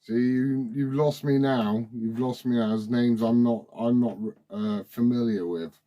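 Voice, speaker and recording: A man's voice: mid-range voice, steady tone, unhurried, dark tone, dull audio. A forty-year-old man, chatting casually and familiarly over CB radio. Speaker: CB radio operator asking questions. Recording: CB radio transmission.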